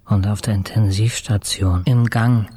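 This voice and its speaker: A man acting as a weary middle-aged hospital patient in a radio play. He speaks slowly, in short sober phrases, with a flat line and a mellow, dark tone. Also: on the low side, slight background noise, natural voice. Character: middle-aged male intensive-care patient, sober, weary, recounting his ordeal. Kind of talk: scripted radio drama narration.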